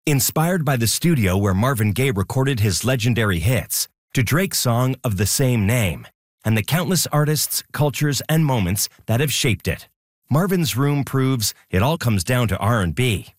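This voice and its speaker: A man's voice: medium pitch, expressive, moderate pace, dry recording. A man reading scripted promos and station identification as a radio announcer in a studio.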